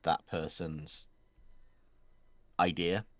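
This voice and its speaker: A man's voice: deep voice, flat delivery, extremely slow, no room sound. A man streaming his art, chatting casually, laughing often and trailing off mid-sentence. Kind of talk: casual chat while drawing.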